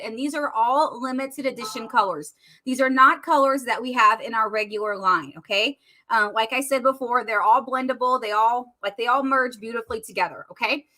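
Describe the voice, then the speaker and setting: A woman, chatty and bubbly, hosting a live makeup demo while losing her voice and clearing her throat. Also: a little high, pushed and bright. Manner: chatty and bubbly, addressing viewers as y'all. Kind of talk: casual live-stream makeup tutorial.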